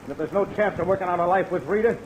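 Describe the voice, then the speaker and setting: A man's voice: high voice, close to an everyday voice. An actor as desperate man on noisy old radio. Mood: desperate, agitated. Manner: halting, pleading, intense. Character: desperate, lovesick male suspect, edgy and guilt-ridden. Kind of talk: 1950s radio drama acting. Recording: noisy old radio recording, rain behind.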